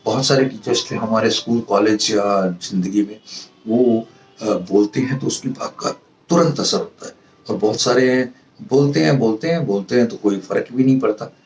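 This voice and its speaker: A man with an Indian accent giving an informal lesson to viewers as a professor, in a halting way that repeats phrases for emphasis. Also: lowish voice, darkish tone. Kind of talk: informal instructional monologue to viewers. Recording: roomy echo, distant mic, music behind.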